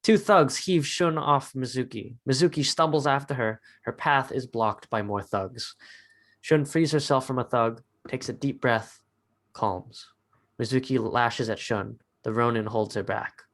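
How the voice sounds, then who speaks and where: A man reads scripted narration in a medium-pitched voice. He serves as narrator, delivering stage directions for a dramatic reading. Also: a little slow, fairly bright tone.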